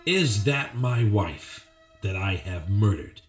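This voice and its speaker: A man's voice: lowish voice, lively intonation, slow pace. A man playing a confused, desperate ex-cop with amnesia in an improvised film noir audio play, anxiously repeating himself. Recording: roomy echo with faint music.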